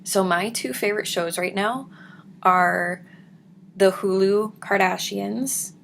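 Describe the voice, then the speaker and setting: A woman with a low, gravelly, raspy voice talks in a slow, unhurried way, often dropping into soft whispers. She chats casually with her viewers as a home vlogger. A faint hiss sits under her voice. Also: fairly even intonation. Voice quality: deep, raspy voice. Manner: soft-spoken, drifts into whispers. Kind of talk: casual chat reading viewer comments.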